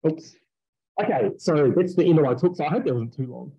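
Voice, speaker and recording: A man researcher talking casually on a video call, with many fillers and quick yeps. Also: a little high, mellow, slightly rough, lo-fi sound. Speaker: researcher presenting a talk. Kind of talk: conference talk and Q&A. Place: indoors, small room. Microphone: headset on video call.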